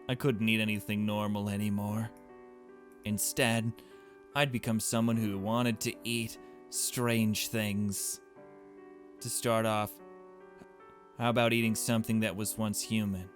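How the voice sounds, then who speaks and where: A man voicing a deranged, devoted young narrator from a horror visual novel, reading slowly and intimately. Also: fairly low pitch, lightly acted. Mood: brooding, unhinged. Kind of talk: slow dramatic reading of game text.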